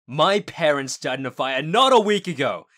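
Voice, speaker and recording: A man's voice: a little high, animated, moderate pace, fairly bright tone. A man playing a grieving, defiant young werewolf heir in an earnest, intense roleplay drama. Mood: outraged.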